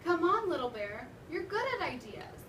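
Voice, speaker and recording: A woman's voice: high voice, very animated, normal speed, voice pushed up. A woman voicing a kindly, firm male toy bear who encourages his friends in a children's storybook. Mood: encouraging. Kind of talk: storybook read-aloud for children. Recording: roomy, noisy classroom from far off.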